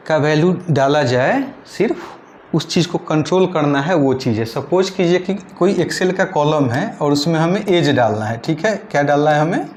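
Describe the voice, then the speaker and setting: A man teaching a patient, step-by-step lesson, his voice sitting a little higher than most men's. He checks in often with a quick "okay" and moves through each point carefully. A faint hiss runs under the recording. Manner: patient, repeats points with frequent "ठीक है". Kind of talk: screen-recorded tutorial lesson.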